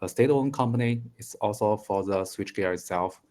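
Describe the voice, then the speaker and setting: A man in his thirties whose native language is Mandarin, speaking English slowly in a conversation lesson with many fillers and restarts. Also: lowish voice, dark tone. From China. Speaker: engineering student.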